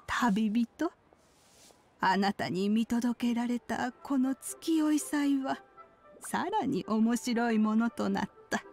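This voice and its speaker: A woman voicing a kindly old village granny in a Japanese video game, with gentle, reflective, old-fashioned speech. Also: a little high. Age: older.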